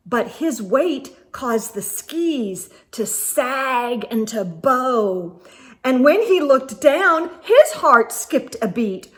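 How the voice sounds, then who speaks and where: A woman with a high, very expressive voice reads a children's story aloud. Her pitch swings widely and she takes her time, drawing out the suspense in a theatrical storytime manner. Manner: theatrical, drawn-out suspense with gasps and asides. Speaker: storytime host reading picture books aloud.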